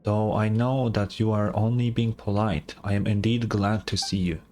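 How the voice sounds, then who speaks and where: A man's voice: on the low side, flat delivery, normal speed, warm tone, a little hiss or hum, lightly acted. A man voicing a courteous, knowing guide who speaks through machines in a game, formal in manner. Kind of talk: reading game dialogue aloud.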